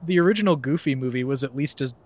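A man's voice: mid-range voice, fast talker. A young man hosting a podcast, chatting casually and reading a summary aloud with sarcastic asides, often laughing mid-sentence. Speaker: podcast host.

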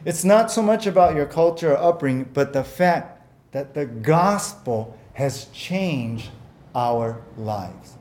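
A man's voice: on the high side, lively intonation, slow, darkish tone. A man preaching a sermon as pastor, earnest and conversational, often repeating words and using fillers, whose first language was Japanese.

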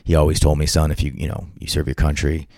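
A man's voice: very deep voice, completely flat delivery, fast, darkish tone, no echo, studio quality. A man, telling a personal story in a casual chat with lots of restarts. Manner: storytelling, frequent restarts and acted-out quotes. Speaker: podcast guest.

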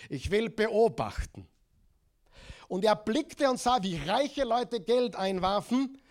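A middle-aged preacher with an Austrian accent and a fairly high voice. He preaches with lively energy, mixes stories with questions, and has the congregation repeat key words back to him. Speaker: pastor. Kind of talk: sermon.

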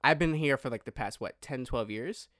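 Podcast co-host: American man, twenties, casual and slangy, rambling delivery, mid-pitched voice, close dry sound. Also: normal speed, a bit bright, dry recording. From New York and New Jersey, United States. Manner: rambling, slangy, self-interrupting, heavy use of "like". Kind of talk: casual chat.

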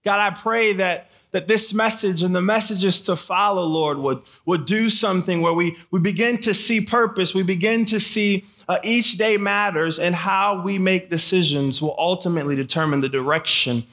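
High-pitched, bright American male youth preacher. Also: moderate pace, hardly any pauses. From born in Haiti, grew up in Michigan. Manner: casual, engaging the audience with questions and repeated phrases. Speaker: guest camp speaker, preacher. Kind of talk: conversational youth sermon to a live audience.

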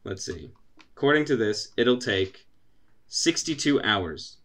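A man's voice: medium pitch, lively intonation, takes it slowly, fairly bright tone. A young man co-hosting a podcast, telling rambling stories full of fillers, swearing and voiced quotes. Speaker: podcast co-host. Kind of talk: casual chat.

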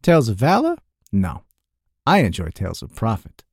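Boltak, a gruff merchant as a man puts him on. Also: on the low side, wildly swooping pitch, moderate pace, a little mellow, no echo, clean studio sound, heavily acted. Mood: gruff, incredulous. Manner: blunt, incredulous, crude. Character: gruff skeptical middle-aged male shopkeeper.

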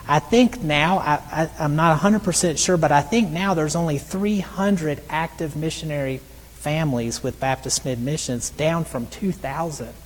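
Soft-voiced man preaching in a reverberant hall. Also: moderate pace. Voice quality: soft, high voice often mistaken for a woman's. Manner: conversational storytelling with repeated restarts. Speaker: missionary preacher. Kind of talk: sermon.

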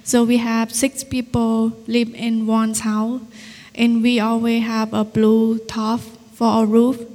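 Soft slow woman. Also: on the high side, completely flat delivery. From Cambodia. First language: Vietnamese. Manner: halting, simple phrasing. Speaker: guest. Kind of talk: testimony interview. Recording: long reverb of a hall.

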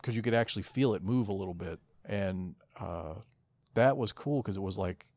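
A man's voice: sits low, normal speed, darkish tone, no echo. A man co-hosting a podcast in casual chat, rambling and swearing freely as he goes. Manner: rambling, profane. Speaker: podcast co-host.

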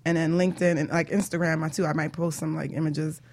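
A woman's voice: deep voice, little pitch movement, quick pace, darkish tone. A woman hosting a talk show in a casual, chatty style. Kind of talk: casual chat.

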